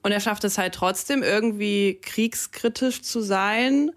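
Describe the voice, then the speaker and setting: A woman's voice: medium pitch, measured pace. A young woman DJ, Russian by first language, chats easily in a campus radio studio. She talks loosely, with fillers and English slang words mixed in, into a close studio microphone. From Germany. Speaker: DJ, interview guest. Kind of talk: casual radio interview.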